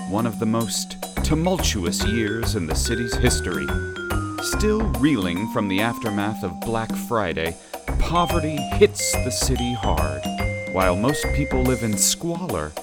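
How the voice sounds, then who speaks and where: Animated male noir narrator over loud music. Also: medium pitch. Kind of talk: dramatic scripted narration for noir suspense radio-style drama.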